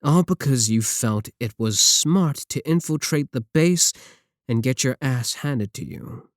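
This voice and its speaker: A man's voice: mid-range voice, animated, measured pace, no echo, close to an everyday voice. A man playing an arrogant, mocking villain scientist in an ASMR roleplay, talking close to the mic in a sarcastic, condescending way. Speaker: voice actor in audio roleplay.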